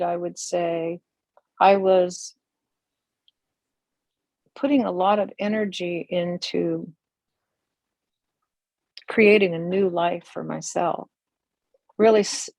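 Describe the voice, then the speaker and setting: Older woman, slow and reflective. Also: medium pitch, darkish tone, no echo. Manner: thoughtful, searching, many pauses and restarts. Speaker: interview guest, community elder. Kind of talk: reflective video-call interview. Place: room with large windows onto a forest. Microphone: video call.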